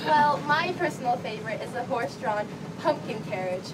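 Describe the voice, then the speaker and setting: Casting: woman as prim young fairytale princess, swooping lively delivery, comedy skit, distant mic over crowd and rumble. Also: a little fast, lightly acted. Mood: sweet, prim. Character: sweet young Cinderella as dating-show contestant chooser. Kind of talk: improvised comedy skit in game-show format.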